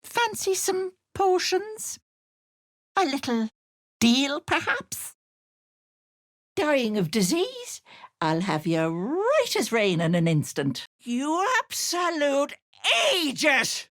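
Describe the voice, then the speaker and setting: Sly old female hag, video game villain, fake-sweet auntie, wildly varied pitch, measured pace, cloying then menacing. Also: very animated, fairly bright tone, no room sound, studio quality, heavily acted. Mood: coaxing, then scornful. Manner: cloying pet names sliding into menace. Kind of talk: theatrical video game character acting.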